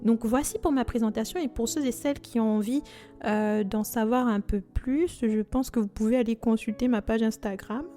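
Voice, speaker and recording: A woman's voice: a little high, fairly even intonation, normal speed, warm tone, soft background music. A woman in her twenties, originally from Congo, hosting a faith podcast in an earnest, devout and chatty manner with frequent fillers. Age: 20s. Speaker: podcast host.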